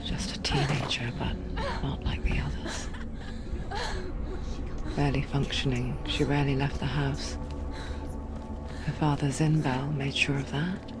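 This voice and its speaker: A woman playing an intimate narrator inside a heroine's mind in a video game, breathy, solemn and deliberate. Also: a bass voice, level tone. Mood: melancholy. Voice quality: breathy whisper. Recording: loud music underneath.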